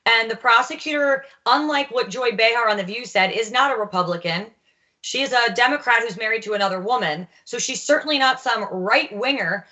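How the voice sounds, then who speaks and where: A woman with a bright, forceful and fairly high voice speaks on a talk show panel. She lays out her points firmly, one step at a time. The audio is slightly muffled and lo-fi. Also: fairly quick. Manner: firm, explains the case step by step.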